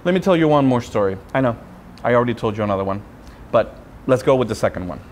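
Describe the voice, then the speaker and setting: A man, a Spanish speaker, preaching a sermon as a friar with personal storytelling, in deliberate phrases broken by pauses. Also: medium pitch, animated, darkish tone, slight background noise. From Puerto Rico. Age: 30s.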